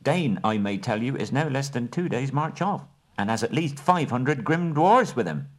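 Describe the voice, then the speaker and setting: A man voicing a small, shrewd male hobbit who talks in a brisk, businesslike, genteel way. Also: medium pitch, very animated, voice pushed up.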